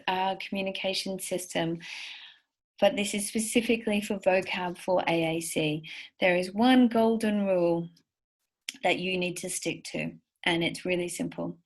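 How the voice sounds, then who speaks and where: A woman giving a recorded slide lecture for parents, encouraging and full of stories. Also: lowish voice. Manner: warm, encouraging, anecdotal. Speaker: speech therapist presenter.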